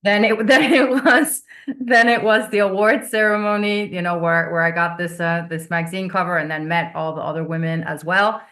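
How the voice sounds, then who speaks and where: A woman's voice: lowish voice, steady tone, moderate pace, a bit bright. A woman who grew up in Venezuela, a business coach chatting as a guest on a video call, laughing often and repeating words as she starts. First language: Finnish. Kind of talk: casual interview chat.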